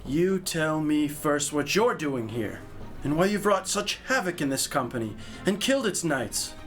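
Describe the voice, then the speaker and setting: An actor playing a young, impulsive and earnest chivalrous knight in a medieval audio drama, with formal, archaic diction. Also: male voice, on the high side, big pitch swings, a little slow, a bit bright, faint music behind. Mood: defiant.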